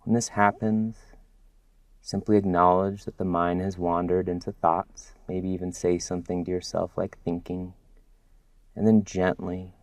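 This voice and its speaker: A man guiding a body scan meditation as a mindfulness instructor, speaking gently and soothingly with long pauses. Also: deep voice, flat delivery, no room sound.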